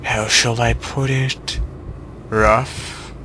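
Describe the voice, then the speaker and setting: A man's voice: mid-range voice, level tone, extremely slow, pushed and bright, a little hiss or hum. A man playing an arrogant, mocking vampire villain in a taunting, theatrical manner. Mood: mocking menace.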